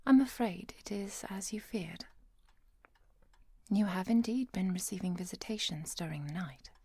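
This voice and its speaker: A woman playing a composed, caring professor and vampire hunter in an ASMR roleplay, speaking softly and precisely, often near a whisper. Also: mid-range voice, husky, lightly acted. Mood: calm, clinical. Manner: measured, precise, softly commanding.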